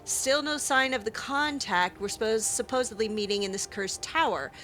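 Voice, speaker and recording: A woman reading out a grumbling male bandit's journal, in a gruff, complaining way. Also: on the high side, animated, moderate pace, a bit bright, soft background music. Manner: gruff complaining, swears "bleeding".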